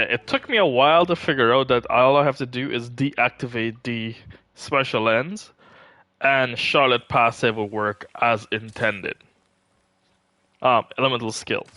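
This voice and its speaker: Slow-paced, bright-voiced man streaming a game. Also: medium pitch, no room sound. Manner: chatty, thinking aloud. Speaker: game livestreamer. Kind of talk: casual live gaming commentary. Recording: music playing behind. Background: music.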